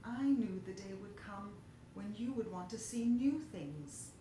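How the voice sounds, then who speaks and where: Woman as slow, tender old moon father. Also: mid-range voice, animated, dark tone, echoey room, a little hiss or hum, close to an everyday voice. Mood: resigned. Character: kindly old man in the moon, loving father.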